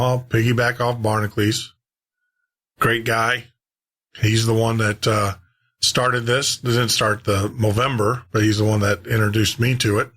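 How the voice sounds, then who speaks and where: Guy hosting a radio style podcast, medium pitch, casual chatty intro, repeats himself a bit. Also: a bit bright. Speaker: podcast host. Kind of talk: casual radio show talk introducing old-time radio drama.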